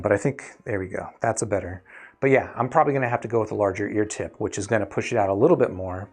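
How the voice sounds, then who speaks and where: A man hosting a casual livestream as a gear reviewer, chatting with viewers in a halting, think-aloud way. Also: lowish voice, husky. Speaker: host, audio gear reviewer.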